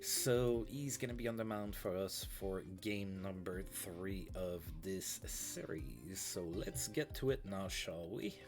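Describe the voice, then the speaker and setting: A man's voice: low voice, slow, hardly any pauses, music in the background. A man with a stuffy, allergy-blocked voice, casually chatting and calling plays as host of a baseball management game stream. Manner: chatty, fillers, play-by-play calls. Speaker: let's play host. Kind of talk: casual baseball sim commentary. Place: home streaming setup.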